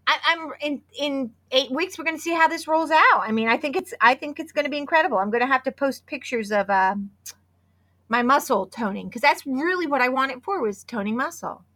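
A woman in her fifties co-hosting a podcast, chatty and excitable, hoarse from losing her voice. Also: high-pitched, a little fast. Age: 50s. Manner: chatty and excitable, with many restarts. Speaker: podcast co-host. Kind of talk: casual podcast chat with sponsor read.

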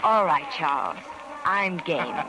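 An actress playing a slangy, tough-talking American carnival showgirl, quick to snap, in an old-time radio drama. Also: low-pitched, expressive, loud music behind the voice, heavily acted. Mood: uneasy, coy. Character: brassy, hard-boiled carnival showgirl widow, quick to snap. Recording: noisy vintage radio recording.

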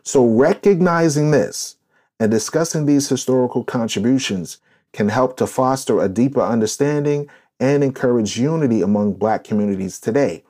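Man, podcast host, mellow timbre, mid-pitched, emphatic, declarative, preachy.

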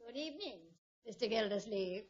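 A prim, middle-aged matron, played by a woman in a radio comedy. She speaks formally and cuttingly, in a highish voice with swooping intonation. The old recording is muffled. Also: lively intonation, brisk, a little hiss or hum, close to an everyday voice. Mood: haughty, pointed. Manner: formal, pointed.

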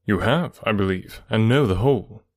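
A man voicing a composed, grave gentleman who speaks in a measured, formal way in an audiobook. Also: on the low side, no room sound, close to an everyday voice. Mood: probing. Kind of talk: audiobook character dialogue.

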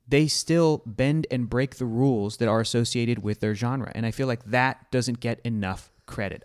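A man in his thirties hosting a casual podcast chat, animated and argumentative, steering the talk. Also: mid-range voice, clean tone. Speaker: podcast host.

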